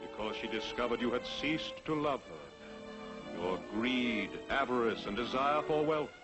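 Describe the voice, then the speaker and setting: An actor playing a solemn, stern spirit with a grave, measured, formal delivery in 1940s television drama. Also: male voice, medium pitch, lively intonation, lightly acted, low-quality recording. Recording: old 1940s television soundtrack, loud music behind.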